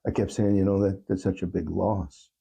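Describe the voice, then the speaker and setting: Male interview guest, low and husky, heavy creak, thoughtful and halting delivery. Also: fairly even intonation, mellow. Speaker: podcast guest, funeral officiant. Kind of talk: reflective interview conversation.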